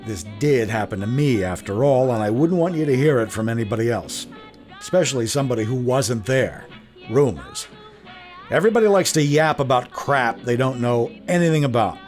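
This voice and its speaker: A man's voice: mid-range voice, lively intonation, moderate pace, a little mellow, faint music behind, lightly acted. An actor playing a blunt, wry older Irish-American man telling a rambling, slangy yarn in a radio drama. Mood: wry, defiant. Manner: slangy, chatty, rambling with self-corrections and chuckles. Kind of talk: radio drama narration, folksy first-person yarn.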